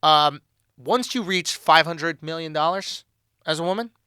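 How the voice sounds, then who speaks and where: A man doing casual comedic podcast banter, brash and animated, with slang and swearing. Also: on the high side, unhurried, smooth, no room sound, clean studio sound.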